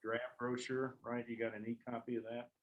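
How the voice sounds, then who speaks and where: A man talking informally during a local board meeting, relaxed and loosely worded, often trailing off. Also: medium pitch, normal speed, phone-quality sound. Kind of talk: casual meeting discussion. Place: meeting room.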